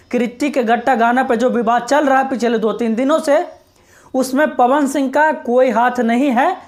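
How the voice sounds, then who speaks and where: High-pitched woman giving insistent commentary. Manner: repetitive, insistent explaining. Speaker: social media commentator. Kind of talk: informal news commentary to camera or voice-over.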